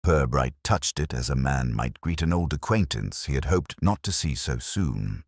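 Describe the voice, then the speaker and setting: A man narrating a mystery story as a scripted reading, in a measured, dryly literary manner. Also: a bass voice, expressive, creaky, husky, no room sound, clean studio sound.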